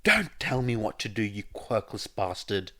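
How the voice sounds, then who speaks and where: A man voicing a furious, foul-mouthed teenage boy bully in an audio story, shouting aggressively. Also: lowish voice, very animated, unhurried, exaggerated voice.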